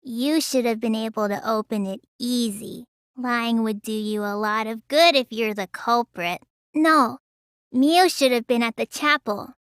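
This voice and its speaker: High, childlike, sluggish girl magician. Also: unhurried, a bit bright, clean tone, dry recording, studio quality. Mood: deadpan, matter-of-fact. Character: petite sluggish teenage girl magician, sullen, stubborn. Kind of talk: English anime game voice acting.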